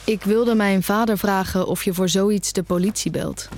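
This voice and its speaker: A woman's voice: medium pitch, normal speed, a little mellow, slight background noise, lightly acted. An actress playing a stubbornly independent, nearly blind music student in her twenties in an audio drama. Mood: reflective, worried. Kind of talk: audio drama dialogue and inner narration.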